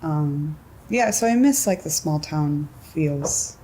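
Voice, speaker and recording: A young woman with a notably deep, mellow voice, talking loosely and laughing often. She fills her speech with "like" and "um" in an easy, unguarded way. A faint hiss of background noise sits under the recording. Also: slow, poor audio. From California. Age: 20s. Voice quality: low voice. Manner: relaxed, giggly, lots of "like" and "um" fillers. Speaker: interviewee. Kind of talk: casual interview.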